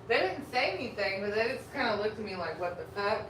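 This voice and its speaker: A Texan woman chats loosely and frankly as she cooks breakfast on a live stream. She sits well back from the mic in a roomy kitchen, with a steady noisy hum behind her. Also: mid-range voice, quick pace, far from the mic. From Texas. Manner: rambling and frank, talks while eating and cooking. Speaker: food vlogger. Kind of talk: casual live-stream chat while cooking.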